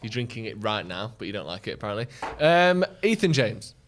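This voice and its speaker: Teasing podcast co-host. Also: male voice, on the low side, sing-song, slight background noise. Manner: teasing, quick-witted, rambling asides. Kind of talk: casual banter.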